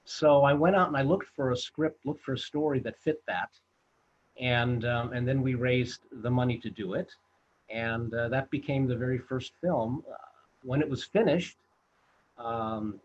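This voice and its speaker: Director in his 60s being interviewed, slightly raspy, halting storyteller, dull video-call audio. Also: mid-range voice. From United States. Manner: halting, many fillers and restarts. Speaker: film director and producer, guest.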